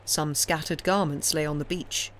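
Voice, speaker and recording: A woman reading classic fiction aloud as an audiobook narrator, in a measured, expressive, dramatic storytelling style. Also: sits low, a little hiss or hum. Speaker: audiobook reader.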